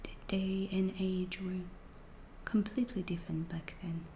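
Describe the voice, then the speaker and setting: Woman playing a slow, whispering alien abductor. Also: lowish voice, level tone, roomy sound, slight background noise. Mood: curious, hushed. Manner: hushed, slow, deliberate, clinical phrasing. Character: calm, curious female alien abductor studying humans. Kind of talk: whispered ASMR roleplay.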